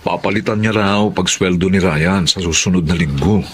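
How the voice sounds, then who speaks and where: A man's voice: low-pitched, moderate pace, background noise, natural voice. A man playing a sheepish, placating working-class husband in a Filipino radio drama, hesitant and appeasing.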